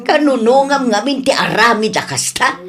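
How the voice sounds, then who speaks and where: A woman's voice: on the high side, lively intonation, normal speed, natural voice. A woman with an Ilocano accent playing a stubborn, quarrelsome older woman in a comedy radio drama, scolding and arguing. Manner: scolding, argumentative.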